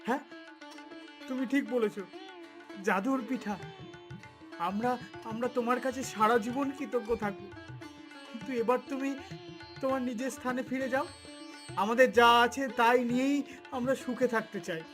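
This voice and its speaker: A man's voice: extremely high pitch, moderate pace, pauses often, fairly bright tone, soft background music. A man voicing a humble, honest, grateful poor village husband in a cartoon, speaking earnestly. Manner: earnest, sincere. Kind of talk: cartoon voice acting.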